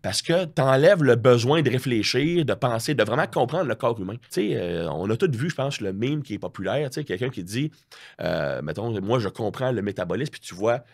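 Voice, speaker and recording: A man's voice: mid-range voice, moderate pace, gravelly. A man with a Quebec French accent chatting casually as a podcast guest, telling stories in a slangy, self-mocking way. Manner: animated storytelling with slangy asides and self-mockery. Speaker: podcast guest, strength coach.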